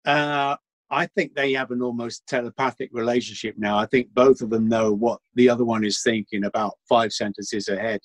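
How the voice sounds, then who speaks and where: A man, an actor in a casual panel interview, explaining things thoughtfully with hesitant pauses. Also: mid-range voice, steady tone, dry recording. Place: home, remote lockdown recording.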